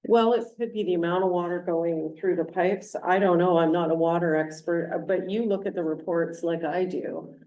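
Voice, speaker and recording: A woman's voice: on the low side, fairly even intonation, normal speed, few pauses, dull audio. A woman chairing a council meeting as mayor, speaking plainly and explaining with everyday examples. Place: council chamber. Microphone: distant room mic. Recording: echoey council chamber from far off.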